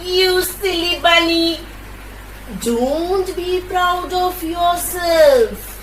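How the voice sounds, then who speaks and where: A woman voicing a friendly little tortoise in a children's puppet show, with simple, drawn-out phrases. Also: extremely high pitch, a bit bright, pitched above the performer's own voice, heavily acted. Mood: scolding. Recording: echoey, distant mic, background noise.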